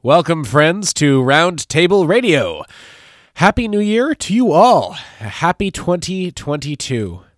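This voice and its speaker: A man's voice: fairly high pitch, sing-song, takes it slowly, dry recording, clean studio sound. A man with a stuffy nose from a cold, hosting a podcast in a friendly, earnest tone. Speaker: podcast host. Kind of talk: casual podcast talk.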